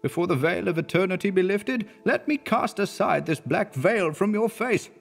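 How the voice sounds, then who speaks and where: A man's voice: lively intonation, moderate pace, creaky, faint music behind, exaggerated voice. A man voicing an earnest male minister who pleads at a deathbed, in a storybook reading. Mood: pleading. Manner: high, pleading, then horrified.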